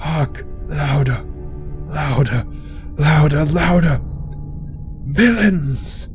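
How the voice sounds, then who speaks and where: A man's voice: fairly high pitch, expressive, drawn-out words, pitched above the performer's own voice. A man playing a mad, guilt-tormented murderer, raving frantically in a gothic horror monologue. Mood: hysterical shouting. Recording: loud music under the voice. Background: music.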